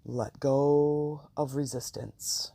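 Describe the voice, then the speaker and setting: Slow, very dark gravelly guided meditation voice. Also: medium pitch, no room sound. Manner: slow, calm, soothing, deliberate pauses.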